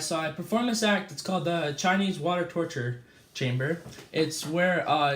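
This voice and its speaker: A man's voice: fairly high pitch, a little hiss or hum, natural voice. A young man acting as an easygoing, famous male escape artist who chats through a mock interview, with a slightly raised voice. He stumbles over words and says "uh" a lot. The room around him is echoey. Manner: casual, halting with fillers and slips.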